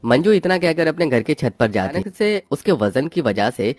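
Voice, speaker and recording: A man's voice: fairly high pitch, very animated, moderate pace, a little mellow, no room sound. Dry-sounding male story narrator over music. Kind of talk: scripted cartoon story narration. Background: background music.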